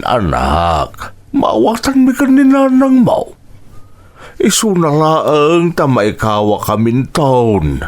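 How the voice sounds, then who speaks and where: Ilocano dad in radio drama, earnest n loving, voice swings up and down a lot. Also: male voice, medium pitch. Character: earnest, affectionate Filipino father of a grown daughter.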